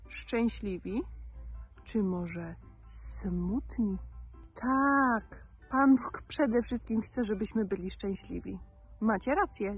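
A woman's voice: medium pitch, darkish tone, slightly rough. A woman in her thirties teaching children in simple words, emphatically, repeating key words. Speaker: presenter of a children's Bible lesson.

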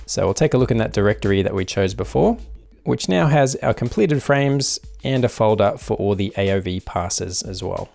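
A man with a gravelly, fry-edged voice talks through a software workflow one step at a time. His delivery is calm and unhurried, a tutorial voice-over with faint music underneath. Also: lowish voice. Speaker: tutorial host. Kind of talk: screen-recorded software tutorial.

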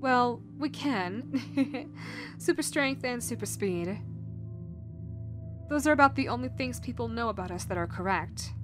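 A woman voicing a caring, teasing, motherly vampire woman in a soothing roleplay audio, coaxing and speaking directly to the listener. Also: a little high. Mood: teasing, explaining. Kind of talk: roleplay audio acting, ASMR-like.

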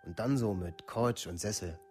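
A man's voice: lowish voice, normal speed, over loud music, lightly acted. Actor as blunt young village man, matter-of-fact. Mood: casual, reminiscing. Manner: matter-of-fact, plain, unemotional recounting. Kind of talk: documentary radio play monologue, testimony.

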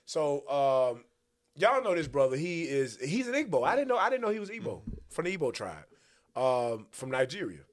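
A man hosts a religious commentary show from a small studio, speaking into a boom mic. He teaches in a preacherly style, his voice a little high and lively, and he keeps calling for scripture to be read. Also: normal speed. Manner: animated, preacherly, calls for verses. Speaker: host and Bible teacher. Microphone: boom-arm studio mic.

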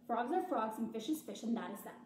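A woman's voice: a little high, lively intonation, fairly quick, a little mellow, close to an everyday voice. A woman voicing a boastful young male frog in a storybook, declaring things and savoring each word. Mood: insistent. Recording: echoey room, distant mic, faint noise.

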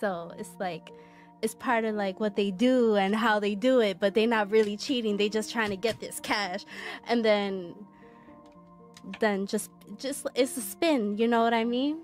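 A young woman talks casually as a guest on a podcast, walking through an example in a relaxed, explanatory way. She sits at a studio microphone, and faint music plays underneath. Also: mid-range voice, measured pace. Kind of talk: podcast conversation.

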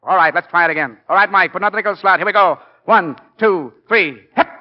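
A man acts out an earnest, driven football coach in a 1940s radio play. His fairly high voice is emphatic and folksy, on a muffled old broadcast. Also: fast, close to an everyday voice. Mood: eager, rousing. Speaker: actor in radio drama.

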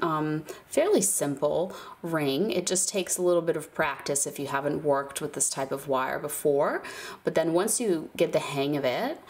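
A woman's voice: low voice, darkish tone. A woman with a stuffy nose from a cold, chatting casually as she walks through a hands-on craft tutorial, with fillers and small laughs. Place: indoors at a craft table, birds outside.

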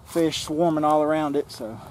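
A man's voice: fairly high pitch, moderate pace, heavy background noise. A man in his forties casually explaining his creek fishing for his outdoor vlog, talking straight to a nearby camera outdoors. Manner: relaxed, explains as he fishes. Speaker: outdoor vlogger. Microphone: camera-mounted mic, close framing. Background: faint outdoor nature ambience.

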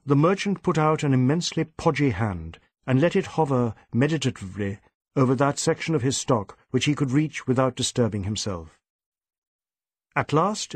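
A male narrator reads scripted literary fiction. His voice sits at a middle pitch and his storytelling is expressive and measured. The recording is very dry. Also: breathy edge, no echo. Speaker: audiobook narrator.

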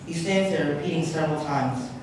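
A man's voice: fairly high pitch, brisk. A young man reading stage directions aloud as the narrator of a staged play. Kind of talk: scripted narration, read aloud. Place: large public hall, on stage. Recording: echoey hall, distant microphone, clear noise.

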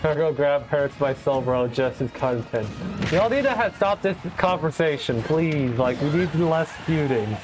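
A man's voice: a little high, lively intonation, a little slow, poor audio. A young man casting a fighting game, bantering in a loose, teasing, slangy way. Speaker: esports caster. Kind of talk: casual game commentary chat. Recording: faint music behind.

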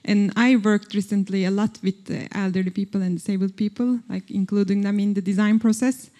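A woman from Turkey asks a question from the audience. Her voice is mellow, and she talks hesitantly with many fillers. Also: mid-range voice, steady tone, fairly quick. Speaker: audience member asking a question.

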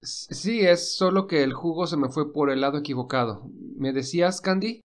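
A man's voice: on the high side, normal speed, close to an everyday voice. A man voicing a kind, considerate young man who hides his nerves, in an audio story. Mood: flustered.

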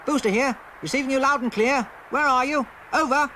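A man doing a high eager boy. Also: very noisy. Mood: brisk. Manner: brisk radio talk. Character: eager young Earth boy inventor.

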